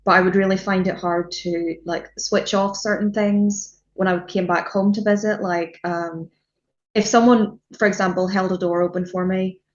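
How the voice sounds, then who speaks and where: A woman's voice: medium pitch, fairly even intonation, normal speed, highs cut off. A young woman from Northern Ireland with a Northern Irish accent, a guest on a podcast, talking in a chatty way with lots of fillers and restarts. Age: young adult. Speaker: podcast guest, student and music journalist. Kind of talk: casual podcast chat.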